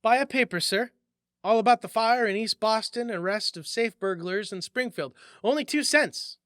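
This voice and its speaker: A man's voice: sits high, moderate pace, a bit bright, no echo, studio quality, voice pushed up. A man voicing an eager, generous young newsboy in a storybook, with folksy, slangy street talk. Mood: hawking, eager. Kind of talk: storybook reading.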